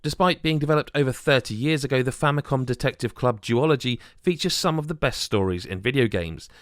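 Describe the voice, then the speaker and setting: A man's voice: mid-range voice, brisk, no room sound. Man narrating a scripted review, dry recording. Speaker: video game reviewer.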